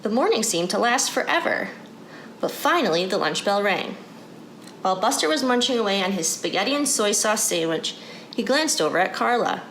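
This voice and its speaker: A woman's voice: mid-range voice, very animated, moderate pace, a bit bright, reverberant, rough recording. A woman reading a children's storybook aloud as a narrator. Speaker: story reader. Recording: clear background noise.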